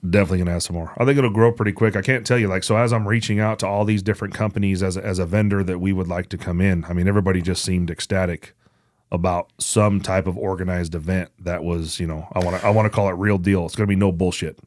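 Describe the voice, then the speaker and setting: Casting: man with a low voice, blunt and sweary podcast co-host, casual delivery, dry close sound. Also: fairly even intonation, fast, darkish tone, no echo. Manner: blunt, profane. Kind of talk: casual podcast chat about hunting and events.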